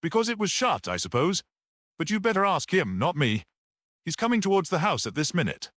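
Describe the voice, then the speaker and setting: Man putting on a snappy little suspect, raised pitch. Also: very animated, fairly quick, a bit bright, no room sound, clean studio sound. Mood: retorting. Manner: snappy, growling retorts.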